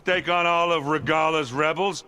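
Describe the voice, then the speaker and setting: A man playing a blunt, irritable, hot-headed warrior in a video game, loud and exasperated, in a reverberant space. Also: a little high, steady tone, moderate pace, smooth. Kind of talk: cinematic video game acting.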